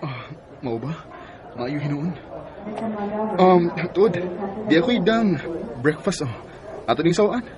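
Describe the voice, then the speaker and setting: A man acting an earnest, gentle suitor in a Bisaya radio drama, with a Cebuano accent. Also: a little high, big pitch swings, a little slow, long pauses, crowd noise. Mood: caring. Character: earnest, gentle male suitor courting a single mother.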